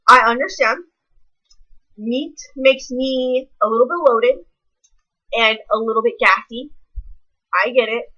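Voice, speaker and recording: A woman's voice: a little high, a little slow, pauses often, pushed and bright, muffled recording. A young woman, ranting casually to camera in a blunt, rambling way full of swearing. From American South. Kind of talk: casual vlog rant.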